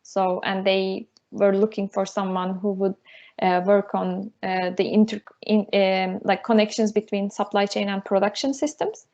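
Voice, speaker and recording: A woman's voice: mid-range voice, steady tone, moderate pace, darkish tone, muffled recording. A woman in her thirties from Turkey, speaking English with a Turkish accent as a lecturer being interviewed, with many fillers and restarts. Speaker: university lecturer, guest. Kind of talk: casual interview over a call.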